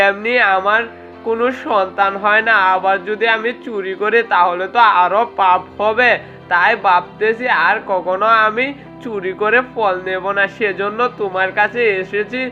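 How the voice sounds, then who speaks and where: Woman voicing gentle ghost husband, bright and muffled. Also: medium pitch, lightly acted. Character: gentle, honest male ghost husband, resigned and polite. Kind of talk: amateur storybook cartoon voicing.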